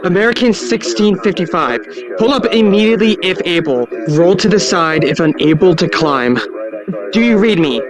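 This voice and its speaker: A man's voice: sits high, lively intonation, moderate pace, a crowd in the background, low-quality recording. A man playing an urgent air traffic controller, insistent and procedural under stress, in an audio drama. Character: urgent male air traffic controller warning a doomed plane.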